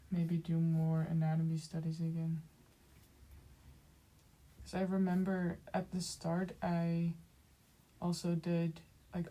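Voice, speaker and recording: A young woman with a Dutch accent, chatting casually with viewers while she draws, speaking softly and haltingly with many fillers and small laughs. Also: fairly low pitch, low-quality recording. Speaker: streamer. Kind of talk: casual chat while drawing on stream.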